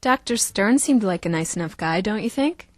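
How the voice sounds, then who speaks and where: A woman's voice: mid-range voice, animated, moderate pace, no echo, clean studio sound, lightly acted. An actress playing a chatty, self-assured female talk show co-host in an audio drama. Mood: wry, chatty.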